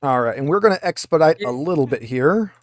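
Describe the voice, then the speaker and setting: A man's voice: fairly high pitch, animated, brisk, no echo, clean studio sound. A man acting as game master, casually narrating a tabletop roleplaying session and giving rulings.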